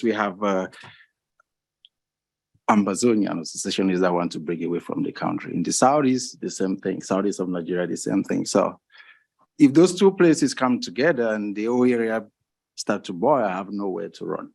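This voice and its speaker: Deep gravelly man asking panel questions. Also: normal speed, no echo. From Cameroon.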